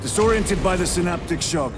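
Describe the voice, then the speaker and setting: A man's voice: high voice, animated, brisk, very noisy. An actor playing a resolute male armored squad leader who gives firm, commanding orders. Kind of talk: video game cutscene acting.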